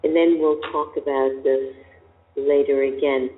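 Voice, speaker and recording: A woman voice teacher speaks slowly and deliberately, pressing her points with probing questions. Her voice comes through thin and phone-like. It echoes with long reverb in a large hall. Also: a bass voice, little pitch movement. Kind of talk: coaching conversation.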